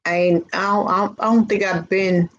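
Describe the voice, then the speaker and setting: A woman's voice: medium pitch, steady tone, a little fast. A woman born in Virginia and raised in New York City, with a slight Southern twang, co-hosting a casual live chat. She is easygoing and chatty and reads out viewer comments. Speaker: co-host. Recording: dull lo-fi audio, faint noise.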